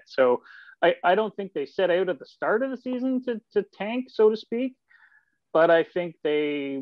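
Male columnist guesting on a sports podcast, conversational, medium pitch, dulled video-call audio. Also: no room sound, dull audio. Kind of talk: casual sports discussion. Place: indoor home room, video call.

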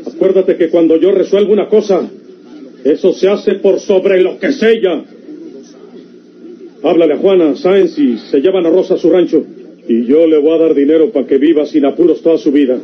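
An actor playing a stern, domineering rural patriarch with a Mexican country accent in a radio serial, speaking in an emphatic, commanding manner. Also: male voice, fairly high pitch, normal speed, a little hiss or hum, lightly acted. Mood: commanding, angry. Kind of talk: old radio serial acting. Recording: dull vintage radio recording with music.

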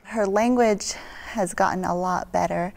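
Young mother with a creaky voice. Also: mid-range voice, unhurried, darkish tone. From Mexican-American family. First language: Spanish. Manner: thoughtful, with frequent fillers. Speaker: parent panelist. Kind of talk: studio panel discussion. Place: dark TV studio set. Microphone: lapel mic.

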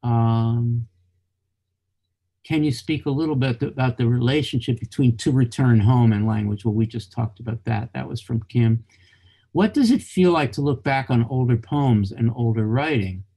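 A man's voice: mid-range voice, warm tone, husky. A middle-aged man hosting a reading over a video call, asking questions in an academic way with frequent restarts. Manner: halting, restarts, academic phrasing. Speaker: host and interviewer. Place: cluttered home office, indoors. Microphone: video call webcam.

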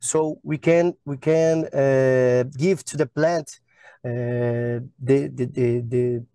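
A man's voice: medium pitch, fairly even intonation, slow, dark tone, clear, resonant tone, dry recording, studio quality. A Brazilian-accented man in his thirties, a native Portuguese speaker, presenting on a webinar video call in simple, non-fluent English. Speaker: agronomist presenter.

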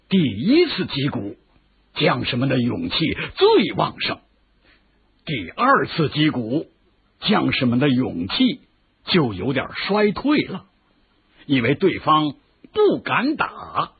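A man's voice: medium pitch, wildly swooping pitch, slow pace, creaky, breathy edge, full character voice. An actor playing a shrewd male military strategist who gives patient, lecturing explanations in a radio drama. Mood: explaining. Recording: tinny phone-like lo-fi audio.

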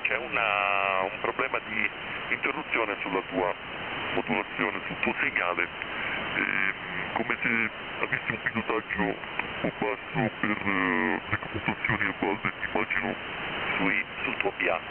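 A man working as an amateur radio operator in an unscripted radio contact, speaking deliberately and spelling out call signs. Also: fairly low pitch, fairly even intonation, creaky. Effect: radio transmission. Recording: narrowband satellite radio link, heavy hiss.

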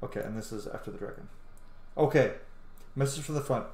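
A man in his thirties doing informal, sweary game commentary, thinking out loud and reading text aloud. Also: mid-range voice, fairly quick, vocal fry. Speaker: gaming video host. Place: small room at home, indoors. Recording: roomy, echoey home recording.

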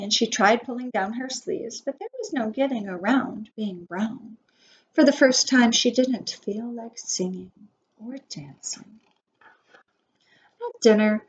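A woman in her forties reading a picture book aloud to preschoolers as their teacher, expressively and with measured emphasis on key words. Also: on the high side. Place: small wood-panelled room indoors. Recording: dull webcam audio with faint noise.

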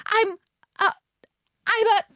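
A woman voicing a polite, bewildered little girl in a storybook, earnest and quick to protest. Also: sits high, big pitch swings, dry recording, clean studio sound, pitched above the performer's own voice, heavily acted. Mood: doubtful, flustered. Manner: plaintive, earnest, hesitant. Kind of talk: storybook character reading.

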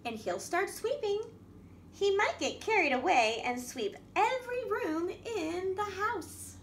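A woman with a very high, swooping voice reads a picture book to young children. She takes her time, in the sing-song manner of a library storytime host. The room around her is echoey. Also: lively intonation, unhurried, fairly bright tone. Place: indoor room at a wooden table by a window. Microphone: camera across the table. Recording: echoey room with background noise.

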